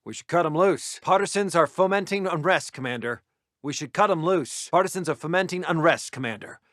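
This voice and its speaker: A man playing a military intelligence officer in a video game, briefing his commander in a crisp, formal, scripted delivery. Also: fairly high pitch, lively intonation, brisk, a bit bright, dry recording, clean studio sound. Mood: businesslike.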